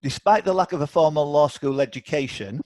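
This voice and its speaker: A man's voice: on the high side, brisk, a little mellow, no room sound. Man hosting a quiz, dry close sound. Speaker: quiz host. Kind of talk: live quiz hosting, reading questions aloud. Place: online video call.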